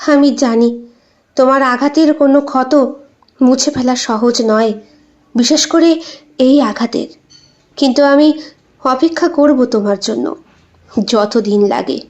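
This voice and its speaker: A woman's voice: sits high, unhurried, pauses often, darkish tone, lo-fi sound. A woman voicing a guilt-ridden, pleading wife in an audio story, with a hesitant, tearful tremble. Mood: sad, resigned. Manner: hesitant, apologetic, earnest. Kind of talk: dramatized audio short story.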